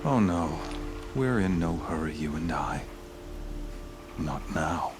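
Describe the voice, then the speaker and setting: A very deep-voiced fanatical villain. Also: male voice, lively intonation. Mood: grandiose menace. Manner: pompous, grandiose, deliberate speech-making. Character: fanatical villain zealot, a soldier-priest of the sun. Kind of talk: dramatic video game villain monologue. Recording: loud music underneath. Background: game music.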